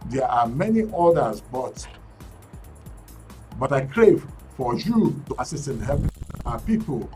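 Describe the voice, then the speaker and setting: A man's voice: medium pitch, very animated, measured pace, a little mellow, distant mic, soft background music. A man with a Nigerian English accent hosting a current affairs show, reading news snippets with frequent fillers and restarts. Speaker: radio and TV current affairs host.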